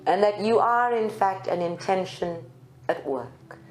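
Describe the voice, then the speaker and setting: A woman leading a guided spiritual meditation in slow, deliberate phrases with long pauses. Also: medium pitch. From South Africa. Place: group meditation room at a centre. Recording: live roomy recording with faint music. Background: faint music.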